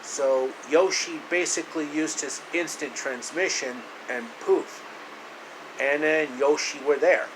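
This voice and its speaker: Guy making up a story as he narrates, slow, halting, repeats himself, lots of hum behind him. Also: fairly high pitch. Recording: loud steady room hum and hiss.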